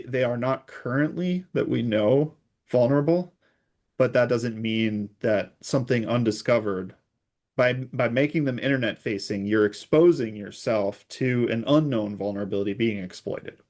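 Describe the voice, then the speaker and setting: Guy with mid-range voice explaining security stuff as an interview guest, measured and conversational. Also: mid-range voice, darkish tone.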